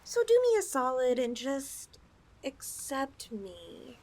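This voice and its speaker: A woman's voice: high-pitched, very animated, slow pace, darkish tone, slight background noise, heavily acted. A woman voicing a possessive, flirtatious lamia in a roleplay ASMR style, coaxing her chosen mate with sweet condescension. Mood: seductive.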